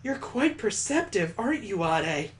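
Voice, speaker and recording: A man voicing a composed, enigmatic older schoolgirl from a visual novel, speaking in a measured, formal way. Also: high voice, very animated. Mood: pensive.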